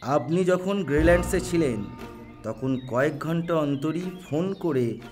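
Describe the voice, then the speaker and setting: A man voicing an excitable, talkative lawyer who bursts in with big news, loud and cheerful. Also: fairly high pitch, a little slow, soft background music. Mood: chatty. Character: excitable middle-aged male lawyer bearing news. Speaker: voice actor in a Bengali audio story. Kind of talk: audio drama acting.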